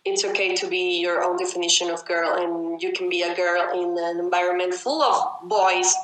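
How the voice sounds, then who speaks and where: Young woman, native Spanish speaker, loud rapid excitable delivery, roomy echo. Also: fairly low pitch. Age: young adult. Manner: loud, fast and excitable, often shouting. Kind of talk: casual conversation. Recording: echoey room.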